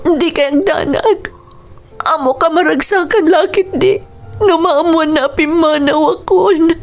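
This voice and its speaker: A woman's voice: very high-pitched, soft background music, exaggerated voice. A woman with an Ilocano accent playing a sorrowful young wife in a radio drama, pleading tearfully. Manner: tearful, slow, pleading delivery.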